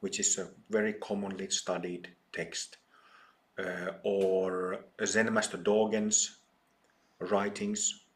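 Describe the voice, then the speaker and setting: A middle-aged man with a Finnish accent, a meditation teacher giving a talk at a retreat, speaking haltingly with many pauses and fillers. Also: deep voice, little pitch movement. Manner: slow, hesitant, many ums and pauses.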